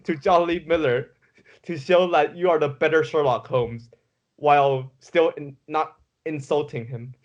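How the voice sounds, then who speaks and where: A man's voice: a little high, steady tone, a little slow, lo-fi sound. A man co-hosting a casual podcast, talking hesitantly with frequent restarts. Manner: hesitant, stammering, laughs often. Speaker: podcast co-host.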